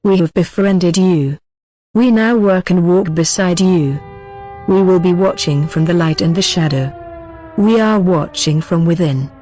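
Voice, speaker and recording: A narrator reads a scripted political address in a formal, solemn tone. The voice sits at a medium pitch and declaims each statement, with music playing behind it. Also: unhurried, warm tone. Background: background music.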